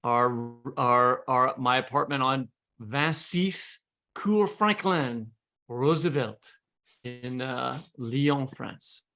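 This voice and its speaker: A man's voice: mid-range voice, drawn-out words, pushed and bright. An American man hosting a podcast, talking casually about politics. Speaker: podcast host.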